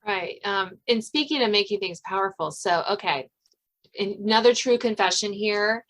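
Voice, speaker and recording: A woman's voice: mid-range voice, moderate pace, fairly bright tone. Chatty woman hosting a casual interview. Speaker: podcast host and novelist.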